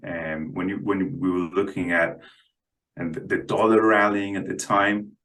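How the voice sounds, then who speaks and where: A man's voice: low-pitched, flat delivery, measured pace, a bit bright, poor audio. A man speaking as a guest analyst in unscripted market commentary, hesitant and often repeating his words.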